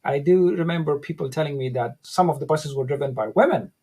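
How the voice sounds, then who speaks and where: A middle-aged man from Afghanistan, speaking as an interview guest, recalling his past in a measured, reflective way with frequent restarts. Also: on the high side, mellow, slightly rough. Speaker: guest, academic center director. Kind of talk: interview, personal recollection.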